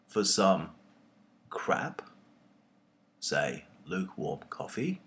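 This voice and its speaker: Slow-paced man with lively, swooping intonation. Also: medium pitch. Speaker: poet.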